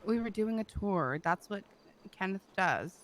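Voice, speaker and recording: A woman playing a playful, blunt, excitable passenger in an online roleplay game, with a conversational, jokey delivery. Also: mid-range voice, measured pace, slight background noise, lightly acted.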